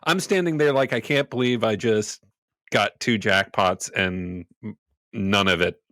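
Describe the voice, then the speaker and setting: A man's voice: on the low side, a little slow, fairly bright tone, no room sound, studio quality. A man playing a tabletop roleplaying game, chatting casually and thinking aloud about his character in a reflective way.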